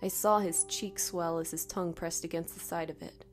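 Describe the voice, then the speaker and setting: A woman narrating a scripted first-person horror story in a dramatic, expressive way. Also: lowish voice, moderate pace, soft background music.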